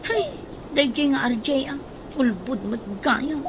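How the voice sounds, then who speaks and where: A plaintive young Ilocano woman in a radio drama, confiding in a somewhat high voice. The recording is clearly noisy. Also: unhurried. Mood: hurt.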